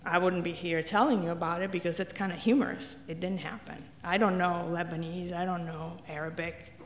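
A woman's voice: on the low side, steady tone, a little fast, slight background noise. A woman from Italy, telling wry, self-deprecating stories as a speaker in a church. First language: Italian. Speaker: guest speaker. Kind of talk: humorous personal testimony talk. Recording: echoey hall reverb.

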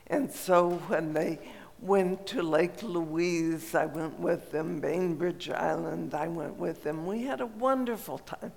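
An older woman from Colorado tells a personal story in a slow, unhurried way, pausing often and filling gaps with small hesitations. Her raspy voice carries a gravelly edge. The sound rings with the long reverb of a large hall. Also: lowish voice. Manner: slow, gentle reminiscing with frequent pauses and fillers.

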